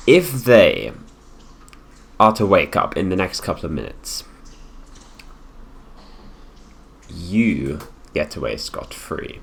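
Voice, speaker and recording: Low, slow, haughty prince played by a man. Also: big pitch swings, gravelly, fairly bright tone, slightly rough, full character voice. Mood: cold, threatening. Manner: condescending, deliberate, drawn-out phrasing with pauses. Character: haughty possessive young prince, heir to the throne, coolly menacing. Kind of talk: improvised ASMR roleplay, addressing the listener.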